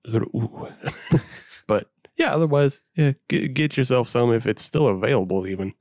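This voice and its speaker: A man's voice: mid-range voice, lively intonation, moderate pace, a little mellow, dry recording, clean studio sound. A man hosting a casual beer podcast, laughing often and steering the talk. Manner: laughs often, leads the discussion. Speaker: podcast host. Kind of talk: casual chat.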